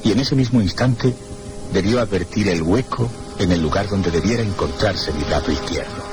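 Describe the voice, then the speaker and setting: Low-voiced, melancholy Castilian storyteller. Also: male voice, music in the background. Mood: melancholy, brooding. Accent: Castilian Spanish. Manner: measured, reflective, literary, courteous. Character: melancholy, reserved one-armed male guest narrating his tale. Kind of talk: Spanish radio mystery drama, first-person narration. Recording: noisy old radio drama recording.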